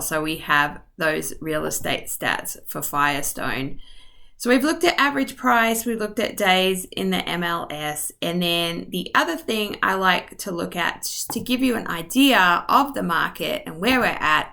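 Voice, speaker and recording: A woman's voice: fairly low pitch, vocal fry, pushed and bright. A woman real estate agent narrating a map tour in a friendly, informal way, a little short of voice. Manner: friendly, informal, with ums and asides. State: losing her voice. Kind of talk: map tour narration over screen share.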